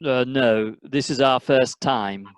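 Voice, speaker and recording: A woman's voice: a bass voice, takes it slowly. A woman playing a mild, henpecked husband, terse and hesitant, in an amateur radio comedy. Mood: meek.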